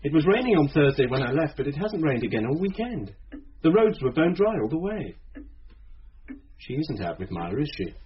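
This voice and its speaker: Probing British man, swooping pitch. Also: very animated, brisk, breathy edge, heavily acted. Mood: suspicious. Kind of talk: British radio drama acting. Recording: muffled old radio recording, hiss and clicks.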